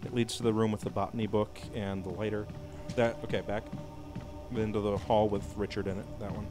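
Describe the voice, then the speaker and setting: Low-voiced man giving game directions. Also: flat delivery, brisk, over music. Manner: bossy, teasing, gives directions. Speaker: let's play co-host guiding the player. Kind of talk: casual gameplay chat.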